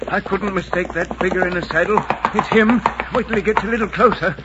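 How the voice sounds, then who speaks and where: An actor playing an agitated, watchful father with an urgent, excitable delivery in a 1940s radio drama. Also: high-pitched, brisk, close to an everyday voice. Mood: tense, urgent. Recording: noisy, muffled 1940s radio recording.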